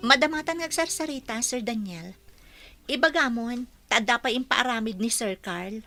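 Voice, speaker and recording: A woman's voice: on the high side, normal speed, sharp tone. A woman with a Filipino accent acting a wary young housekeeper in a comedy radio drama, formal and questioning. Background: music.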